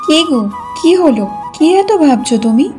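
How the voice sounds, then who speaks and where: A woman's voice: sits high, expressive, normal speed. A woman narrating a cartoon folk tale and voicing its village wives. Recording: music behind the voice.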